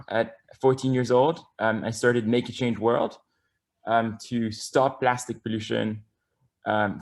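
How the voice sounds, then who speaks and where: A man in his twenties from Bali, talking eagerly as an interview guest, with many ums and restarts. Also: mid-range voice. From Bali, Indonesia. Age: 20s. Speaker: guest environmentalist. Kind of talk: casual interview talk.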